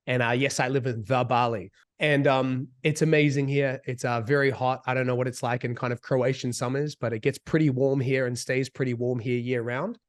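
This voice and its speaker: A man in his thirties with a New Zealand accent, a little sick and husky, talking slowly and deliberately about his path in business. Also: mid-range voice, steady tone. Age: 30s. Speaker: business coach. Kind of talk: casual talk as a guest.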